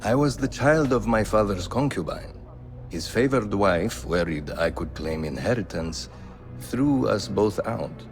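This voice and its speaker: An actor playing a calm, wise male assassin mentor who speaks in a measured, grave way. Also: lowish voice. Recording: game audio with music.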